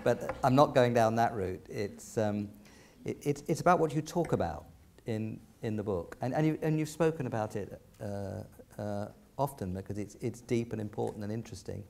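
An older man chairing an on-stage interview, putting his questions gently, with many restarts and hesitations. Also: fairly low pitch, normal speed, creaky. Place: large lecture theatre stage.

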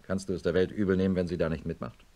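A deep, low voice belongs to this middle-aged surgeon in a radio play. He is blunt and measured, an old friend laying out hard facts soberly and insistently. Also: level tone, mellow. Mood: blunt, regretful. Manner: measured, matter-of-fact, insistent. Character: sober male neurosurgeon, blunt old friend, narrating his story. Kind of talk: German radio play acting and narration.

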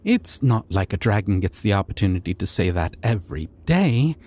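A man playing a shy, dorky, kind dragon in an ASMR fantasy roleplay, speaking softly and hesitantly with tender, rambling care. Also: on the low side, very animated, normal speed. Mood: bashful, playful. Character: shy, gentle, dorky adult male dragon caring for a stranger.

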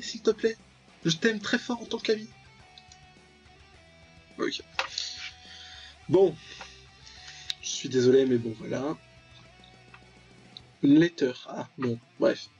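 A man's voice: sits high, sing-song, a little slow, pauses often, lo-fi sound, soft background music. A man giving casual, joking commentary on a visual novel, ironically paraphrasing and reacting aloud as he plays. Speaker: let's player, channel host.

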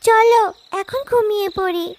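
A woman's voice: very high-pitched, normal speed, background noise. A woman voicing a sweet, childlike little girl cub in a children's cartoon story, coaxing and eager. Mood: gentle.

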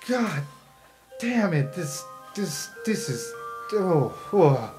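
A man's voice: high voice, sing-song, drawn-out words, over music. Emphatic man running a tabletop game. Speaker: game master. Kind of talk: improvised tabletop game narration.

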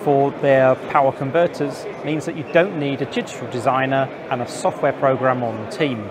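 Man presenting on a noisy trade show floor. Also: medium pitch. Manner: clear, informative presenting to camera. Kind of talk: scripted trade show reporting. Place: busy indoor trade show hall. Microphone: lapel mic.

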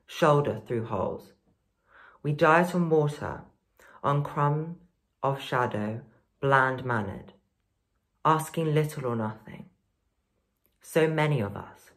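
Slow young man reciting poetry. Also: mid-range voice, a bit bright. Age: teens to young adult. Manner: measured, deliberate. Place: plain indoor room.

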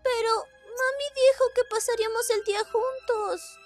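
A woman voicing a sweet, excitable little demon girl in an animated comedy dub, bubbly, childlike and pleading. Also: extremely high pitch, measured pace. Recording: playful music behind the dialogue.

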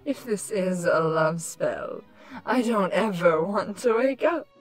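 A woman's voice: medium pitch, expressive, takes it slowly, faint music behind. A woman voicing a lovestruck, doting ancient changeling queen villain in a cartoon story, dreamy and gushing. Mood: dreamy, adoring. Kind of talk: cartoon fan fiction voice acting.

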